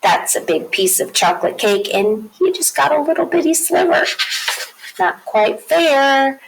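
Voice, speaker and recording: A woman teacher reads a children's picture book in a high voice that swings up and down expressively. She takes her time, stretching key words and pausing for asides to young listeners. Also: vocal fry, noisy background. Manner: expressive, drawn-out emphasis, explains pictures.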